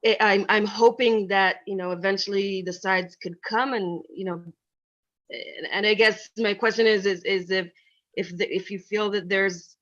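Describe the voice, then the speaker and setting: A woman's voice: medium pitch, steady tone, normal speed, a bit bright. Earnest woman on a muffled video call. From Israel. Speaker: audience member asking a question. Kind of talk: thoughtful spoken question.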